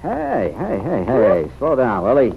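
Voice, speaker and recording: A man's voice: medium pitch, huge pitch swings, a little slow, gravelly, narrow, tinny audio, heavily acted. An actor playing a teasing, good-humoured young man in an old-time radio drama, with a bantering manner. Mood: playful. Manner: bantering, chuckling. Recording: noisy vintage radio recording.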